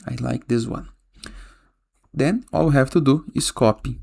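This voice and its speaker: A man hosting a casual software tutorial voice-over, giving step-by-step instructions and often checking in with a short questioning tag. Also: medium pitch, unhurried, gravelly. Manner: frequently tags instructions with a questioning "okay?". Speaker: tutorial host. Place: voice-over for a screen recording.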